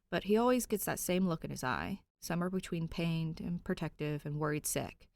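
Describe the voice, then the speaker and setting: A woman's voice: sits low, no room sound. A woman narrating fan fiction expressively from a script. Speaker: podfic narrator.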